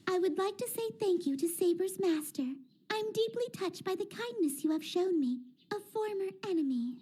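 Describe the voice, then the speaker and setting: A woman's voice: extremely high pitch, expressive, normal speed, warm tone. A woman voicing a small, teasing, smug girl mage in a fan manga dub, playful in manner. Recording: with background music.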